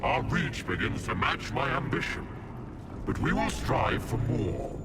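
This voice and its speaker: An actor voicing an imperious, volatile envy demon in stolen form in a video game, grandiose and taunting. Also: male voice, mid-range voice, sing-song, measured pace, heavy background noise. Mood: cold taunting. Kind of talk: video game villain acting. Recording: very loud music behind. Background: game music.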